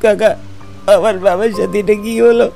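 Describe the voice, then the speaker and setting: Defiant young heir, horror cartoon. Very high for a man, gravelly, dark-toned, insistent. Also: steady tone, moderate pace, faint music behind. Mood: shocked, grieving. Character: grieving, defiant young landlord's son from the city. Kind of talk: cartoon horror drama acting.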